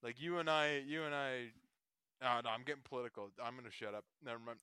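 A man's voice: medium pitch, steady tone, quick pace, sharp tone, smooth, no echo. A Canadian man calling in to chat casually, joking and often repeating his phrases. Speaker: caller and game contestant.

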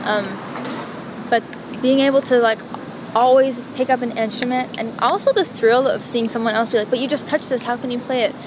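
A woman's voice: fairly high pitch, fairly even intonation, fairly quick. A woman from Montreal whose first language is Italian, chatting in a rambling, filler-heavy way as an interview guest outdoors. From Montreal, Canada. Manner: rambling, chatty, many fillers, self-deprecating. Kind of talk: casual chat. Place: outdoors on a city street. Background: traffic and bus noise.

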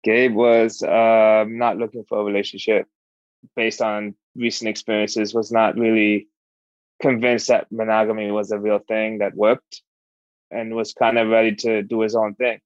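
A man in his thirties casually telling his own love story in a relaxed, rambling way, with plenty of fillers. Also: fairly low pitch, moderate pace, no room sound, dull audio. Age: 30s. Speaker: interviewee.

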